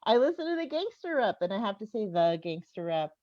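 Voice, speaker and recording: Woman in her fifties doing animated stand-up. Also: medium pitch, fairly quick. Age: 50s. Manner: conversational, often chuckling at own jokes. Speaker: stand-up comedian. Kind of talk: stand-up comedy set to an audience. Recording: dull, echoey live venue recording.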